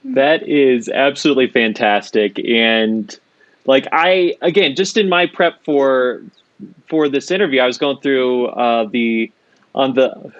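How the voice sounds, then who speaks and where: A man hosting a podcast interview, effusive and halting as he speaks. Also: mid-range voice, no room sound, dull audio. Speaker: podcast host. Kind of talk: casual interview talk.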